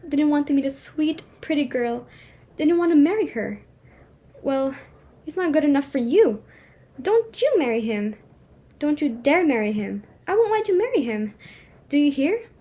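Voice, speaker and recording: A woman's voice: sits high, sing-song, moderate pace, warm tone. A woman playing a flustered, imperious society hostess and mother in a dramatic reading of a stage comedy, emphatic and exclamatory. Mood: indignant, defiant.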